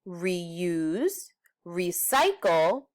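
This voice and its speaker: A woman's voice: fairly low pitch, big pitch swings, drawn-out words, exaggerated voice. A woman reading a storybook as an indignant, bossy young girl with an exasperated, preachy manner. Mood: sarcastic, firm.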